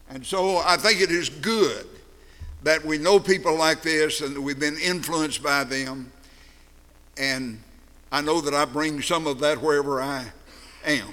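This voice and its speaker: An older man with a deep, distinctive voice, reminiscing in a sermon with slow, deliberate phrasing. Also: bright, forceful tone, big-room sound, low-quality recording. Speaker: preacher. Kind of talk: sermon-style storytelling.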